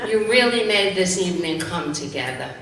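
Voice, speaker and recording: A woman giving a thank-you speech as an amateur theatre director, projecting emphatically with pauses between phrases. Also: fairly low pitch, expressive, fairly bright tone. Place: large hall, live stage event. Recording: very echoey noisy hall, far off.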